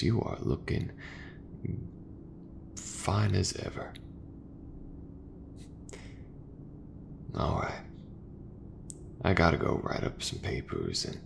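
Man voicing a deep, gravelly, gentle mech mechanic. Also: noisy background, full character voice. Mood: warm, reassuring. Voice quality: very low, soft, breathy murmur, often near whisper. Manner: unhurried, reassuring, folksy. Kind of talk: ASMR roleplay.

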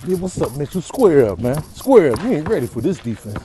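A performer voicing a cocky male bull who talks excitable, repetitive street-ball trash in a comic animal voiceover. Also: medium pitch, fairly quick, slight background noise, natural voice. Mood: cocky taunting. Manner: excitable, repetitive slangy taunting.

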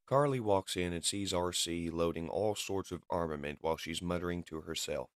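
A man's voice: low voice, moderate pace, dry recording, clean studio sound. A man giving dramatic scripted narration as the storyteller of a comic book reading.

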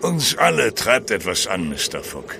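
An actor playing a gruff, driven, world-weary ship's captain in a radio drama. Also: male voice, deep voice, sing-song, a little slow, noisy background, exaggerated voice. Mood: guarded.

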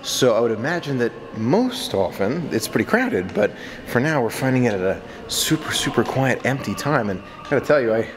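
A man's voice: medium pitch, very animated, normal speed. Enthusiastic man vlogging over noisy ambience. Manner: enthusiastic, repeats words for emphasis. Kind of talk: walk-and-talk resort tour vlog. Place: resort lobbies and covered walkways at night. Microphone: camera held at arm's length. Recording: clearly noisy handheld on-location recording.

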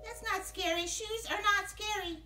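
Excitable squeaky little childlike ghost puppet. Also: male voice, moderate pace, echoey room, slight background noise, exaggerated voice. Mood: dismissive. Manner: whiny, exclaiming, repetitive.

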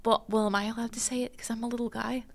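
A woman's voice: on the high side, level tone, very fast, vocal fry. A woman co-hosting in casual banter, playful and teasing. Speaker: off-screen co-host.

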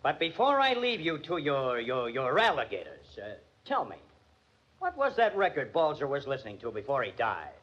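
Sardonic hard-boiled male police detective, American, very animated pitch, 1940s radio drama, dull vintage sound. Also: moderate pace, slightly rough, exaggerated voice. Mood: wry. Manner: sardonic, needling, tough-guy slang. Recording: dull, band-limited old radio recording.

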